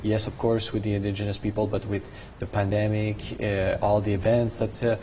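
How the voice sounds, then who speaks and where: A man's voice: fairly low pitch, little pitch movement, a little fast, dark tone. A French Canadian man, a native French speaker, giving conversational live studio analysis and searching for his words. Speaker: studio commentator, French-language coverage host. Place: broadcast studio. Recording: clear background noise.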